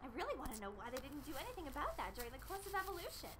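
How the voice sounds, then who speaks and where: A woman's voice: high voice, sing-song, rapid-fire, crowd noise. A woman voicing a bossy, exuberant teenage schoolgirl club leader in an anime fan dub, brash, emphatic and sure of herself. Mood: breezy, opinionated. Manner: emphatic, declarative, self-assured. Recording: amateur fan dub with background noise.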